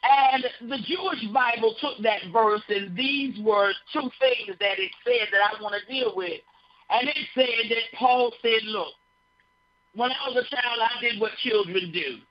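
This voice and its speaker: A woman's voice: fairly high pitch, unhurried. A woman giving a conversational sermon on a broadcast, folksy and full of repeated phrases, often checking in with listeners. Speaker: radio speaker on behavior. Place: radio studio. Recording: dull lo-fi broadcast sound.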